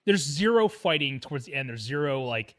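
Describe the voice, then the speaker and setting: A man's voice: mid-range voice, lively intonation, a little fast, fairly bright tone. A man co-hosting a film podcast, talking casually and jokily in an informal group chat. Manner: informal, jokey.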